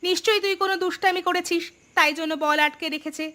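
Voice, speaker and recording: A woman's voice: very high-pitched, fairly even intonation, quick pace, sharp tone. A woman voicing a nagging, exasperated village mother in a cartoon, scolding and complaining. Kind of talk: cartoon voice acting.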